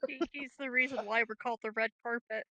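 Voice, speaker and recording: A woman's voice: a little high, steady tone, fast, pushed and bright, dry recording, muffled recording. A woman chatting casually as a tabletop game player, with a wry, joking manner.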